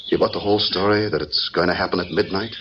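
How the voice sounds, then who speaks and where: An actor playing a brisk, conspiratorial lover and agent in an old-time radio crime melodrama. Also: male voice, low voice, fairly even intonation, noisy background. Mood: furtive, eager. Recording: dull vintage radio recording with music.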